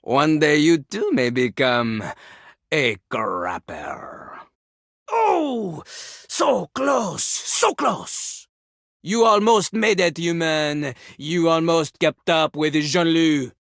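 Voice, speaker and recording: An actor playing a boastful French talking toilet in a video game, a pompous, theatrical rapper with a French accent. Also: male voice, a little high, animated, extremely slow, creaky, fairly bright tone, dry recording, clean studio sound, heavily acted. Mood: haughty, then condescending. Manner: pompous, theatrical, refers to himself in third person. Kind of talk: video game character acting.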